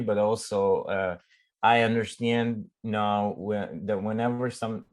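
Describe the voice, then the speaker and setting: A middle-aged man from Romania with a Romanian accent, a startup CEO chatting in an interview, often pausing and restarting as he searches for words. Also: on the low side, a little mellow. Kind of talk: casual conversational interview.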